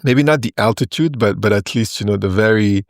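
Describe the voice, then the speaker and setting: A man in his forties from Ethiopia, a consultant guest explaining his work in a podcast interview, in short measured phrases with fillers. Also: lowish voice, no echo, clean studio sound.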